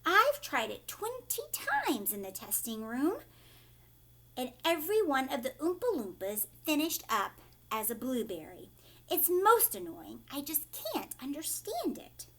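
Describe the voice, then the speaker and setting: A woman reading aloud the part of an eccentric male chocolate maker, theatrical and matter-of-fact, brisk and exasperated yet reassuring. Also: high voice, wildly swooping pitch, fairly bright tone, full character voice. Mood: annoyed, baffled.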